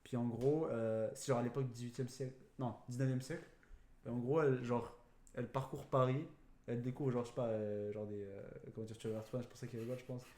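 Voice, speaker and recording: A man's voice: medium pitch, fairly even intonation, fast, darkish tone. A young man chatting casually about films, rambling through explanations in slangy, filler-heavy speech. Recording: roomy echo, faint background noise.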